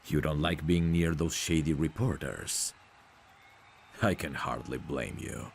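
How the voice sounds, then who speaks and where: Guy doing a smug boxer dude for asmr roleplay, super deep gravelly voice, boastful n teasing. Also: unhurried, slight background noise, exaggerated voice. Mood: smooth, teasing. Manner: boastful, teasing, self-assured. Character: cocky, smug male prizefighter, proud of his looks. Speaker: voice actor. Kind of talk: scripted ASMR roleplay audio drama.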